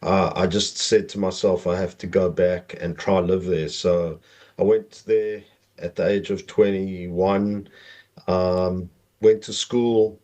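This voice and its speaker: A middle-aged man with a South African accent, telling personal stories conversationally as a podcast guest in a halting, meandering way. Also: low voice, fairly even intonation, a little mellow.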